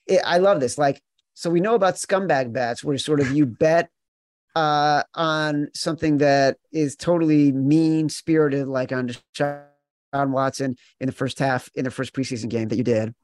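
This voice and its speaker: Higher-voiced man hosting a betting podcast. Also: steady tone, moderate pace, no echo. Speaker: sports betting podcast host. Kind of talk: casual sports betting chat.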